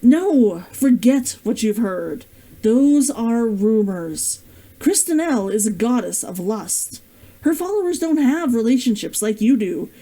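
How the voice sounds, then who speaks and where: A woman voicing a guarded, gruff male adventurer in a fantasy storybook, speaking tersely and earnestly. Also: on the high side, animated, takes it slowly, warm tone, rough recording. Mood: earnest, insistent.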